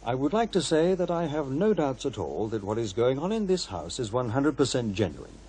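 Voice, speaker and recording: An actor playing a frail, proud, elderly professor with a dry wit in a radio drama. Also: male voice, mid-range voice, big pitch swings, brisk, a little mellow, husky. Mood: measured, assured. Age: older. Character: frail elderly male professor, proud, wry, stubborn paranormal investigator.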